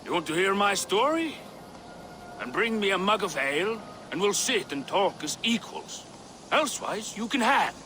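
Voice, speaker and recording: An actor playing a gruff, surly old Viking warrior in a video game, blunt and sardonic, with a gravelly voice. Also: male voice, high-pitched, big pitch swings, normal speed, pushed and bright, a little hiss or hum, exaggerated voice. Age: older. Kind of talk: video game dramatic acting.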